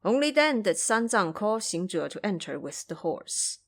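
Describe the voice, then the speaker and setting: A woman narrating a scripted audio drama as a storyteller, with careful, expressive phrasing. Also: mid-range voice, normal speed.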